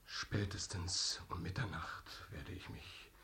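An actor playing a remorseful, doomed man in a radio drama, grave and deliberate. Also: deep voice, fairly even intonation, sharp tone, full character voice. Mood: grim resignation. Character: remorseful, doomed male visitor, grimly resigned.

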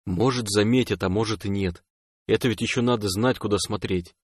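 A man voicing a calm, reassuring young man who speaks in a hushed near-whisper, in an audiobook story. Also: on the low side, a little fast, breathy edge, no echo, clean studio sound. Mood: hushed, cautious. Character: calm, joking young male lover, reassuring.

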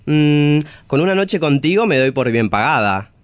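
A man's voice: a little high, sing-song, normal speed, no echo. A man playing a flirtatious, ruthless travesti criminal in an audio drama, languid and cool.

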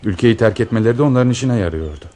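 An actor playing a devoted, protective lover on the run, in a radio drama. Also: male voice, medium pitch, lively intonation, rapid-fire, dark tone, natural voice. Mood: earnest. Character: devoted male lover, protective fugitive planning escape.

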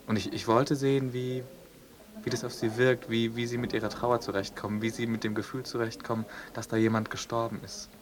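Actor as tentative, flat-toned probing man. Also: mid-range voice, fairly quick, a crowd in the background, lightly acted. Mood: earnest, probing. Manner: tentative, repeating himself. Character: earnest, probing male visitor, quietly unsettled. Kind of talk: naturalistic improvised-sounding radio drama.

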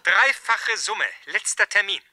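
An actor playing a polite but insistent blackmailer in a radio play, speaking briskly and formally. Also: male voice, sits high, expressive, sharp tone, heavily acted. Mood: politely relentless. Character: insistent, polite, high-voiced male blackmailer on the telephone. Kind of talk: 1970s German radio play acting.

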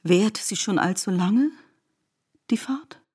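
A woman reading literary narration in a deliberate, articulate way. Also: medium pitch, lively intonation, darkish tone, slightly rough. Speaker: narrator of a literary radio adaptation.

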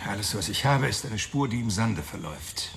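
An actor playing a cynical, crude, sleazy ex-cop fixer in a noir radio drama, brash and sardonic, with a gravelly creak. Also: male voice, fairly low pitch, animated, fairly quick, noisy background. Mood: grudging, confiding.

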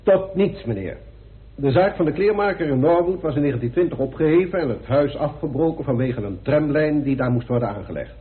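A man's voice: a little high, lively intonation, fairly quick, natural voice. A man playing a deferential, matter-of-fact police inspector reporting his findings in a radio drama. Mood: factual. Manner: respectful, factual, clipped reporting. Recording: tinny band-limited old radio recording.

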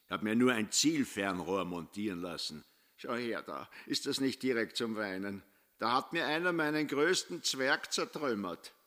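A man's voice: mid-range voice, animated, normal speed, sharp tone. An actor with an Austrian accent playing a folksy, aggrieved village huntsman in a radio drama, heard in a reverberant space, off in the distance. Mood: aggrieved, chatty. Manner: colloquial, grumbling.

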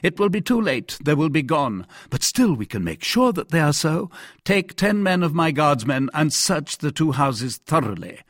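Man playing a cunning, imperious cardinal, lively pitch. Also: normal speed, no room sound, clean studio sound, full character voice. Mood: commanding. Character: commanding, cunning, falsely benevolent male cardinal.

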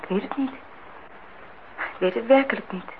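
An actress plays a young female student in a radio drama, outspoken and idealistic, with a fairly high voice. She speaks earnestly and with defiance, on a dull, noisy old recording. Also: normal speed, natural voice. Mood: subdued. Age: young adult. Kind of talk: Dutch radio play acting.

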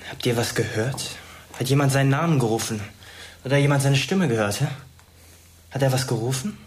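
A young man playing an excitable, questioning teenage schoolboy in a naturalistic German radio drama. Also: mid-range voice, brisk, slight background noise, lightly acted. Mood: urgent, probing. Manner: colloquial, probing, questioning. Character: excitable, inquisitive teenage schoolboy sheltering from an attack.

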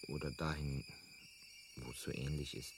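A man's voice: a bass voice, fairly even intonation, moderate pace. An actor playing a bewildered, easily exasperated ordinary man from Earth in a radio drama. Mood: hesitant. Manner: hesitant, then exasperated. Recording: clearly noisy ambient sound bed.

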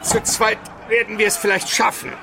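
A man's voice: high voice, little pitch movement, moderate pace, creaky, a crowd in the background, background noise. A man playing an educated, pious Byzantine minister on the run, solemn and formal, in a German radio play. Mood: tense, practical.

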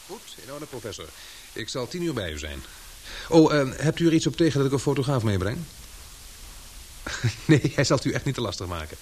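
An actor playing a courteous, inquisitive and cautious newspaper reporter in a Dutch radio drama, with a hesitant, expressive delivery. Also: male voice, medium pitch, fairly quick, slight background noise, natural voice.

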